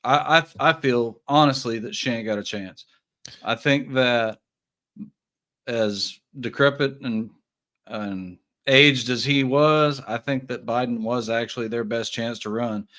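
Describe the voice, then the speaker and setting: A man's voice: medium pitch, a little slow, a bit bright. A man giving his opinions as a guest in a casual chat, with folksy, slangy phrasing. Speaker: guest caller.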